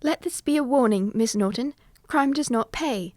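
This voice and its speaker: A woman's voice: on the high side, moderate pace, darkish tone, dry recording, clean studio sound, close to an everyday voice. A woman playing a polite, impressionable young lady with a scheming streak in an old-fashioned detective radio drama. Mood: taken aback. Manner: polite, earnest, proper.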